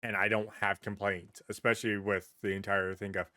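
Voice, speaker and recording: A man's voice: lowish voice, flat delivery, a little fast, sharp tone, no room sound, clean studio sound. A man hosting a podcast in casual pop-culture chat, speaking in an informal, sweary, emphatic way. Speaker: podcast host.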